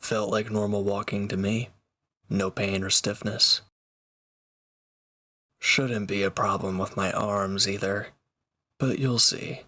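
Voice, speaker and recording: A man's voice: lowish voice, little pitch movement, slow, pauses often, sharp tone, heavily acted. A man playing a sardonic, teasing reformed villain in an intimate one-sided roleplay audio drama, with dry, casual delivery. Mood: reassuring.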